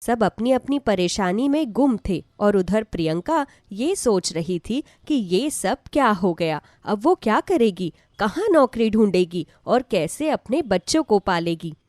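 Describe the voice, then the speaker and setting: A woman's voice: medium pitch, expressive, measured pace, hardly any pauses, darkish tone, no room sound. A woman narrating a scripted devotional story as a storyteller.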